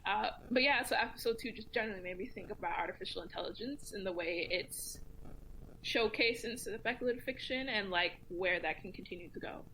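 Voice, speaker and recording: A woman's voice: medium pitch, fairly quick, bright, forceful tone, a little hiss or hum, poor audio. A woman speaking as a podcast guest, reflective and casual, thinking aloud as she talks. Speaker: podcast guest, community commentator. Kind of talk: casual round-table discussion, thinking aloud.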